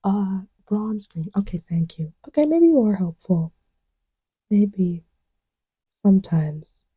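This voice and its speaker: A woman playing a playful, distracted young girlfriend in an intimate ASMR roleplay, murmuring in a halting, teasing way. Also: medium pitch, expressive, slightly rough, no echo, muffled recording, heavily acted. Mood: soft, fond. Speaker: audio roleplay voice actor.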